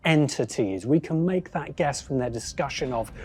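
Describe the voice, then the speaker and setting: A man narrating a scripted video essay as host, phrasing deliberately with pauses for emphasis. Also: mid-range voice, animated. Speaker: host, science fiction critic.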